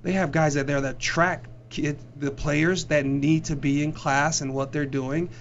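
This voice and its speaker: A man who is a former football player, speaking earnestly and haltingly as a studio guest. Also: a little high, fairly even intonation, lo-fi sound, slight background noise. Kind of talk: studio discussion.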